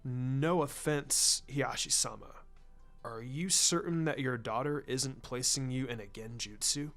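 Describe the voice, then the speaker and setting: A man voicing a shrewd, laid-back intelligence chief in a story reading, with a careful, deliberate way of speaking. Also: a bit bright. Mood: cautious, probing. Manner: careful, deliberate, low-key. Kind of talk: fan fiction story reading. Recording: music under the voice.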